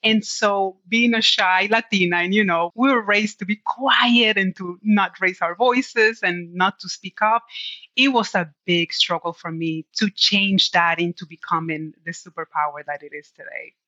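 A woman from the Dominican Republic, a native Spanish speaker with a Dominican accent, telling her story as a podcast guest in a candid, animated way. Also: mid-range voice, unhurried, no room sound. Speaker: guest, tech executive. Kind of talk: conversational podcast interview. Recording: dull lo-fi audio.

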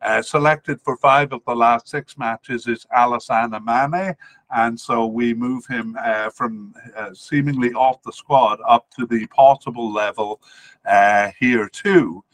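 A man's voice: medium pitch, steady tone, measured pace, a bit bright, dry recording, low-quality recording. Male podcast host from Wales, informal sports analyst, methodical and hesitant delivery, close dry home recording. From Cardiff, Wales. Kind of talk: informal player-by-player squad analysis.

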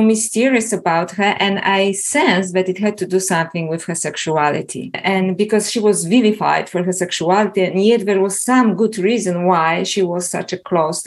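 A woman with a Polish accent, an author and scholar answering interview questions in a thoughtful, earnest way. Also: fairly low pitch. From Poland. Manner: thoughtful, earnest, with some restarts.

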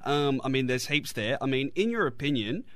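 A man's voice: medium pitch, animated, brisk, dry recording. A man with an Australian accent hosting a radio interview, chatty and a little hesitant, with fillers. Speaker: radio host.

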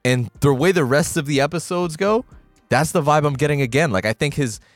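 A man's voice: fairly high pitch, a little fast, dry recording, faint music behind. A man co-hosting a podcast in casual chat, swearing freely and acting out scenes he recaps. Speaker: podcast co-host.

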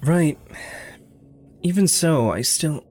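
A man's voice: a little high, expressive, darkish tone, slightly rough, exaggerated voice. Hesitant, wounded young man. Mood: conflicted, guilty. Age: young adult. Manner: hesitant, halting, introspective. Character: wounded, guilt-ridden, gentle young man. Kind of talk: naturalistic audio drama acting.

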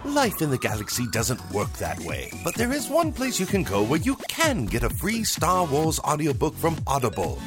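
A man's voice: fairly high pitch, wildly swooping pitch, normal speed, hardly any pauses. A man reading a playful scripted podcast ad as the announcer. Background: music.

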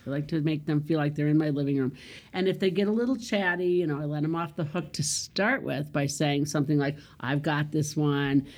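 A woman's voice: low-pitched, fairly even intonation, a little fast, darkish tone. Whiny nasal-voiced woman comedian. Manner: chatty and laughing, tells stage stories. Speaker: comedian and club host. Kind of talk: casual interview chat.

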